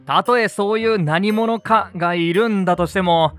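Young father, acted by a man, radio drama, excitable, animated casual talk, faint music behind. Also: high voice, normal speed. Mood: casual, puzzled. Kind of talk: amateur radio drama acting.

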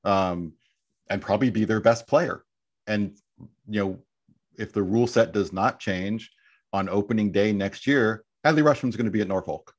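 Low-voiced man talking fast and earnest. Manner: earnest, repeats words when starting a point. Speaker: podcast guest. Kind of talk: casual podcast chat.

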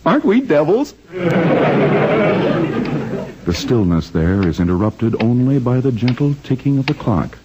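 A man's voice: medium pitch, sing-song, normal speed, natural voice. A man playing a genial, wry 1940s radio announcer and narrator with a theatrical manner. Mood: hushed, wry. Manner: theatrical, tongue-in-cheek. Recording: muffled, faintly noisy old radio recording.

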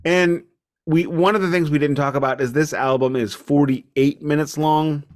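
A man's voice: a little high, fairly even intonation, moderate pace. A man co-hosting a music podcast, giving casual album reviews in an opinionated, emphatic, sometimes mock-formal way.

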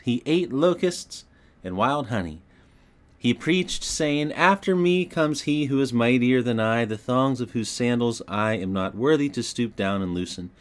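A man with a mid-range voice narrates scripture in the style of an audiobook. He reads from a script with expressive, storytelling phrasing, giving quoted speech some dramatic shape. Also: mid-range voice.

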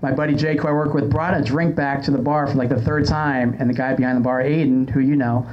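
A man's voice: medium pitch, steady tone, fast talker, dull audio, slight background noise. A man hosting a podcast in casual conversation, with a sarcastic, wry way of talking. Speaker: podcast host. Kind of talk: casual chat.

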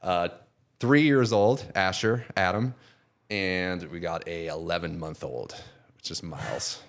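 Guest spot: very low-pitched man in his 40s, loose rambling talk that trails off. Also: measured pace. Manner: rambling, with many restarts and trailing off quietly. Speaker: guest, custom painter and product seller. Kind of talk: casual podcast conversation.

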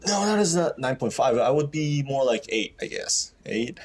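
A man's voice: medium pitch, animated, normal speed, a crowd in the background. A man in his thirties from South Korea who speaks English with a Korean accent, chatting as a podcast guest in a hesitant way full of fillers and restarts. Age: 30s. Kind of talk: casual interview chat.

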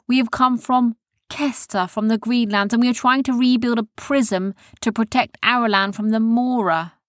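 A woman's voice: on the high side, steady tone, normal speed, no room sound, studio quality, pitched above the performer's own voice, lightly acted. A woman voicing an earnest, polite young boy on a quest in a storybook.

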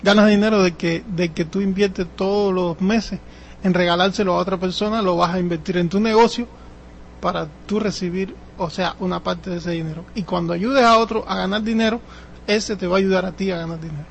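A man with a high, gravelly voice answers as a seminar attendee, explaining informally. There is faint room noise behind him. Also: fairly even intonation, fairly quick.

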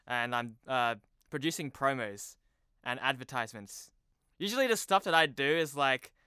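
A man's voice: mid-range voice, expressive, normal speed, sharp tone, clear, resonant tone, no echo, studio quality. A young man chatting casually as a podcast guest, informal and self-deprecating. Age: young adult. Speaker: podcast guest, TV promo producer. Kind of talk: casual chat. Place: remote video call.

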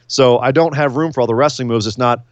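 A man's voice: mid-range voice, fast, no room sound. A middle-aged man co-hosting a casual wrestling podcast, wry and self-deprecating, talking close into a microphone. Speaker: podcast co-host. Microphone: podcast mic close to face. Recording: slightly dull, dry recording.

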